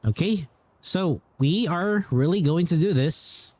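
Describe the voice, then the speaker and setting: A man's voice: fairly high pitch, animated, takes it slowly, a little mellow. A man chatting casually as a game streamer, rambling informally and often repeating his phrases. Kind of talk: casual livestream chat and commentary.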